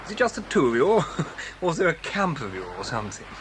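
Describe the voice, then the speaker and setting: A man's voice: fairly high pitch, very animated, fast talker, a bit bright. A man playing a flustered, prim stranger who loves birds, stammering in a British accent for a children's audio drama. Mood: flustered, chatty. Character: flustered, fussy male bird-lover stranger, stammering and easily alarmed. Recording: faintly noisy old cassette recording.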